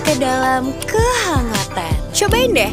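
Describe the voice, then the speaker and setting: A woman performs a scripted food advert. Her voice is very high and swoops with lively intonation. Loud music plays behind her. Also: takes it slowly.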